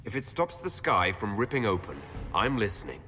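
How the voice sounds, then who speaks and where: An actor playing a resolute, diplomatic leader in a video game, speaking directly and earnestly. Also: male voice, lowish voice, very animated, moderate pace, sharp tone, a little hiss or hum. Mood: determined. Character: resolute, diplomatic young hero leading a faction. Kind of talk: video game dialogue acting.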